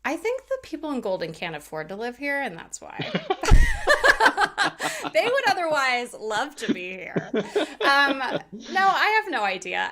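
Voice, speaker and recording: A woman's voice: mid-range voice, bright, forceful tone. Chatty, candid woman guest laughing easily. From Hawaii. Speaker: podcast guest, rental investor. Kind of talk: casual interview chat.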